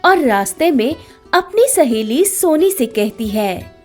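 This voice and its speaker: A woman's voice: high-pitched, big pitch swings, takes it slowly. A woman narrating a scripted children's moral story as the storyteller. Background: background music.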